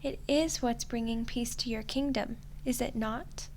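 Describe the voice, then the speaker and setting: A woman playing a smug, teasing archdemon in a roleplay ASMR style, soft and condescending, with an unhurried delivery. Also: on the high side, fairly even intonation, slight background noise. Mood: coolly accusing. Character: smug, teasing female archdemon toying with a human ruler.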